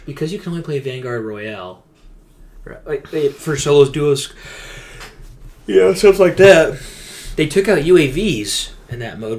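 A man chatting casually as a gaming podcast co-host, rambling and venting emphatically in slangy language. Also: mid-range voice.

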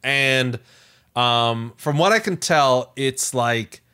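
A man's voice: mid-range voice, slow, pushed and bright, clean tone. A man in a casual podcast chat as co-host, thinking aloud a little hesitantly.

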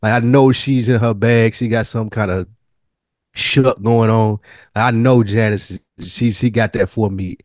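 A man co-hosting a TV review podcast, chatting casually with slangy, animated storytelling. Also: fairly low pitch, unhurried, no room sound, clean studio sound. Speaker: podcast co-host. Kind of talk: casual chat.

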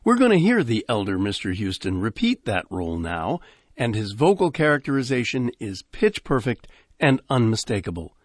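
Casting: older male host, lively delivery, very dry close studio sound. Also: mid-range voice, normal speed, no room sound. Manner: smooth, informative announcing. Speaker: radio host. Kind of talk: scripted radio introduction.

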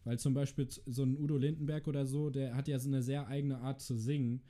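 Man, casual host, rambling, hesitant, dark voice. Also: medium pitch, little pitch movement, fast talker. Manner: rambling, hesitant with many fillers. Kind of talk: casual chat.

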